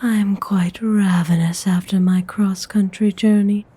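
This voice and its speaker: A woman playing an aristocratic lady vampire in an ASMR audio roleplay, speaking softly in a formal, refined, half-whispered way, haughty yet benevolent. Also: mid-range voice, steady tone. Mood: appraising. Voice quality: soft, breathy, half-whispered. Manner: unhurried, formal, coolly refined.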